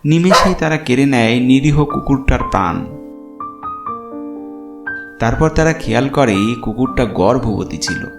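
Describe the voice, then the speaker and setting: A man's voice: mid-range voice, expressive, measured pace, long pauses. Echoey male horror-story narrator. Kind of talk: scripted horror cartoon narration. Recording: roomy echo, clear music behind. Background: background music.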